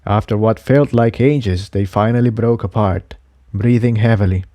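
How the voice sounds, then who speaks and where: A man's voice: lowish voice, normal speed, mellow, no room sound. A man reading an adventure story aloud as scripted narration. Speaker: audiobook reader of fan fiction.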